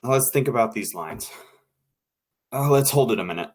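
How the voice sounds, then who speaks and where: An actor playing a tactful but fussy radio director, hesitant and repeating himself, in an old-time radio drama. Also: male voice, medium pitch, expressive, moderate pace, a little mellow, slightly rough. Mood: tactful dissatisfaction.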